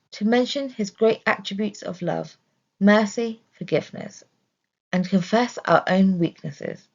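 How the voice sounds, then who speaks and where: A woman reads a scripted religious study text in a measured, deliberate way. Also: mid-range voice, warm tone, lo-fi sound. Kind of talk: scripted narration, religious lesson.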